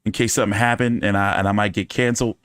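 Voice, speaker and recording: A man's voice: lowish voice, steady tone, fast talker, fairly bright tone, smooth, dry recording, clean studio sound. A man hosting a boxing news channel in casual fan chat, talking loosely with cursing and repeating his phrases.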